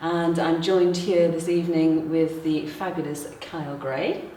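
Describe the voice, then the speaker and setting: A woman's voice: sits low, fairly even intonation, unhurried. A woman from Wales chatting as an event host and interviewer. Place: indoors in a manor house venue. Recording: echoey room, distant mic, background noise.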